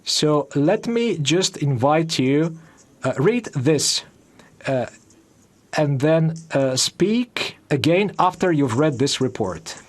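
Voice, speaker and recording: A man's voice: a little high, expressive, slow pace. A man answering in a debate, reproachful and insistent. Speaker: parliament member. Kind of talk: debate rebuttal.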